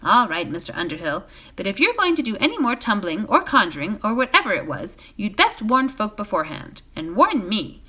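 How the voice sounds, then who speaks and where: A woman's voice: mid-range voice, big pitch swings, brisk, hardly any pauses, pushed and bright, slightly rough, exaggerated voice. A woman voicing a fussy, puzzled male innkeeper in a storybook, chatty and folksy in manner. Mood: scolding, wary.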